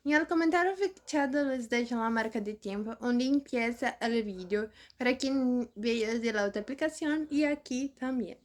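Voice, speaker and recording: A woman with a Brazilian Portuguese accent introduces her channel in halting, non-native speech. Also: high-pitched, darkish tone. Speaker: channel host.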